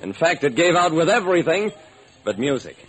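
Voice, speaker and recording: A man's voice: fairly high pitch, sing-song, a bit bright, soft background music. An actor playing a shrewd, wry private detective who narrates his own case in an old-time radio drama. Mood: wry, brisk. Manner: wry, brisk storytelling. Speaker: narrator and lead character. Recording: slightly noisy old radio recording.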